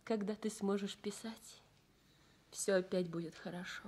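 A woman's voice: medium pitch, fairly even intonation, measured pace, darkish tone, slightly rough. An actress playing a devoted, anxious young nurse caring for her sick husband in a Soviet radio drama. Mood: tender, consoling.

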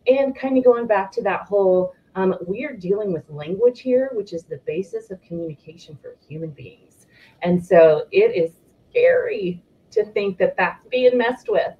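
A woman's voice: medium pitch, very animated, normal speed. A woman panelist talking thoughtfully and a little hesitantly over a video call. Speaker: college faculty panelist. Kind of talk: panel discussion. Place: home room, close to computer on video call. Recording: slightly dull video-call audio.

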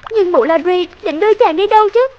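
A woman's voice: very high-pitched, a little fast, lo-fi sound, a little hiss or hum. A woman voicing an anxious, devoted young fairy-tale heroine in a cartoon, earnest and plaintive.

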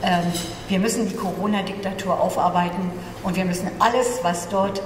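Older woman, panel speaker, halting earnest delivery, long hall reverb, background noise. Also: medium pitch, fairly even intonation. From East Germany. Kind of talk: self-introduction at a panel discussion. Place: large conference room indoors. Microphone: table gooseneck microphone.

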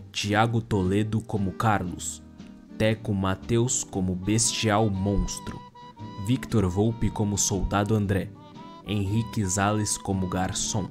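Brazilian man, announcer, scripted credits read, lowish pitch, slow pace, music behind.

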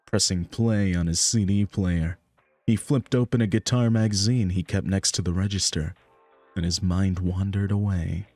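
A man's voice: low voice, steady tone, normal speed, dark tone, dry recording. A man reading scripted story narration for a visual novel. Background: background music.

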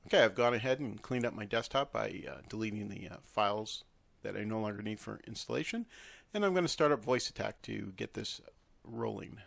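Low, gravelly man giving a tutorial. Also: expressive, brisk, dry recording. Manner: informal, step-by-step instructing. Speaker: software tutorial presenter. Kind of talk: casual screen-recorded tutorial.

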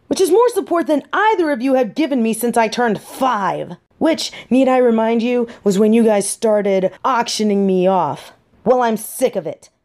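A woman's voice: on the high side, darkish tone, natural voice. A young woman playing a defiant, foul-mouthed biker girlfriend in a scripted audio roleplay, with emphatic, dramatic delivery.

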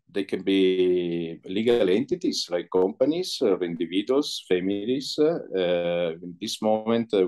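Halting deep-voiced man on a video call. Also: darkish tone. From Italy. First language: Italian. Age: middle-aged. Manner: halting, many filler pauses. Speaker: interview guest, entrepreneur. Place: indoor room, light walls. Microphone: video call laptop mic.

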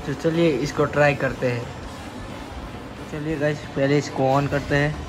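Young man, even-toned higher voice. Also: moderate pace, music in the background. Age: young adult. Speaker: vlogger. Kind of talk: casual vlog narration to viewers. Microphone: phone at arm's length.

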